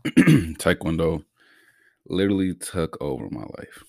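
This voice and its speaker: A young man from Massachusetts hosts his own podcast, talking in a loose, slangy, laid-back way and stuttering now and then. His voice sits very deep with a gravelly fry. The mic is close and dry. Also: sing-song, slow pace, a little mellow, no room sound. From Brockton, Massachusetts. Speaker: podcast host. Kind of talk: casual solo podcast talk.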